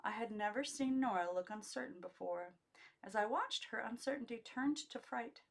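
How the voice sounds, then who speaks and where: A woman's voice: on the high side, normal speed, creaky. Woman reading a memoir aloud expressively. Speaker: amateur audiobook reader. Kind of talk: expressive scripted narration of a memoir.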